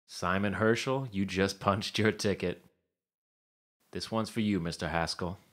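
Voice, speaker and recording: A man's voice: sits low, measured pace, fairly bright tone. An actor playing an eager, wisecracking young man in a 1950s period audio drama. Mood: dramatic, absorbed. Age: 20s. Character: eager, wisecracking young screenwriter and bellboy in 1950.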